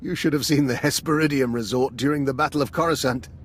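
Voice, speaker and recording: A man's voice: mid-range voice, steady tone, fast talker, a little hiss or hum, close to an everyday voice. A man playing a curious, refined companion character in a video game, with a wry manner. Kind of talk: video game dramatic acting.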